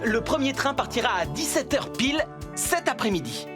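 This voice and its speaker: A man's voice: very high-pitched, big pitch swings, normal speed. A man voicing a confident, upbeat builder foreman in a dubbed children's cartoon, explaining things in an encouraging way. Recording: music behind the voice.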